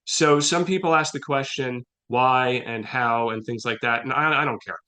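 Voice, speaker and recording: A middle-aged man speaks in a bright, forceful voice at an ordinary male pitch. He chats informally as an interview guest, an animated storyteller who slips into quoted dialogue. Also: moderate pace. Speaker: guest playwright. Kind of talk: casual conversational interview.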